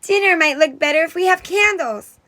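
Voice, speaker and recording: A woman reading a storybook, voicing a flustered, anxious girl who gives urgent exclamations and hurried orders in the kitchen. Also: very high-pitched, sharp tone, pitched above the performer's own voice. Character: flustered, anxious girl cooking dinner with her sister.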